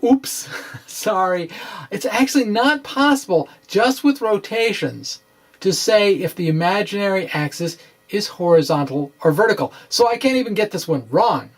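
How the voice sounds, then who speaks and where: A middle-aged man presenting an informal science explainer, with halting, emphatic delivery and wry asides. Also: high-pitched, lively intonation. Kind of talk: informal dramatic reading of a physics explainer. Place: small indoor room with bookshelves. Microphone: microphone on stand close in front.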